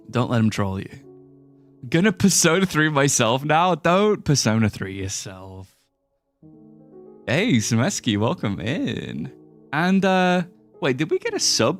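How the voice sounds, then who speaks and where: A young man chats casually on a livestream in a silly, playful way, his voice leaping around a lot. It sits slightly on the high side and sounds a little stuffy. Faint music plays behind him. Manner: goofy, playful, silly mispronunciations and shifting voices. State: slightly sniffly, stuffy-nosed. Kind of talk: casual stream chat, greeting chat and playing a game.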